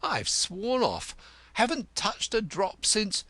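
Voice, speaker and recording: Man voicing a high-pitched, pompous, aggrieved poet. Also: huge pitch swings, takes it slowly, bright, forceful tone, breathy edge, no room sound, exaggerated voice. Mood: indignant, boastful. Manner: florid, grandiose, easily offended. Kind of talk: audiobook character voice.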